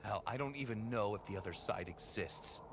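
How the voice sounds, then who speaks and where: A man's voice: fairly low pitch, normal speed, noisy background, close to an everyday voice. A man acting a weary, self-doubting hero in a fan-made science fantasy audio drama. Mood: bitter, anguished. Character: weary, self-doubting heroic young male Titan guardian.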